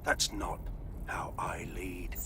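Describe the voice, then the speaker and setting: An actor playing a smooth, authoritarian male corporate chief, measured and self-righteous. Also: low voice, flat delivery, noisy background.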